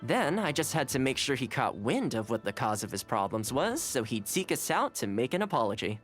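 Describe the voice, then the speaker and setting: Guy voicing calm clever young housekeeper in a game, smooth talker, lively pitch, music behind. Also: fairly quick, lightly acted. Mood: composed, easygoing. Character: calm, shrewd young male housekeeper of a samurai clan. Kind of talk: video game voice acting.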